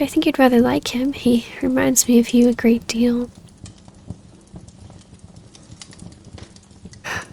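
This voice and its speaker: Vampire baroness female performer, ASMR romance roleplay: high, breathy, near-whispered, barely varying in pitch, yearning and courtly. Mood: wistful, confessional. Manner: soft, breathy, often dropping to a whisper. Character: tender, yearning vampire baroness, long-lost lover. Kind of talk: intimate ASMR roleplay acting.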